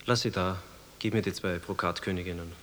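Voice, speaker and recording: A man's voice: deep voice, steady tone, fairly quick, fairly bright tone, a little hiss or hum. An actor playing a cool, stubborn man in a radio drama, curt and guarded with an old friend, in a reverberant space. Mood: cool, firm.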